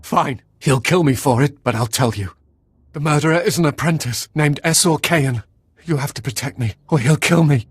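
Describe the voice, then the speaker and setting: An actor playing a terrified, cowering Sith acolyte held prisoner in a video game, nervous, stammering and pleading. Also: male voice, a little high, normal speed, slightly rough, no echo. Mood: desperate.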